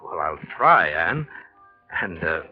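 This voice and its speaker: An actor playing an earnest, polite, somewhat hesitant struggling painter and husband in a 1940s radio drama. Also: male voice, sits low, very animated, takes it slowly, soft background music, heavily acted. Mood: polite, flustered. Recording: dull lo-fi 1940s radio recording.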